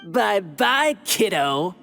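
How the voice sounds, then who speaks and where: A woman's voice: mid-range voice, sing-song, extremely slow, gravelly, music in the background, heavily acted. A woman voicing a cocky, condescending thief who taunts and mocks in an anime-style video game. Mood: taunting. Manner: mocking, condescending. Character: cocky, taunting thief on the run, sneering and smug.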